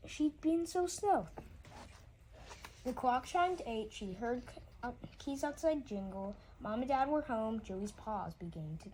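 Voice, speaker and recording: High-voiced woman reading a storybook, haltingly. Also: expressive, dark tone, slight background noise, poor audio. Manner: halting reading, often stumbling and repeating words. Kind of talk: read-aloud rhyming children's storybook narration.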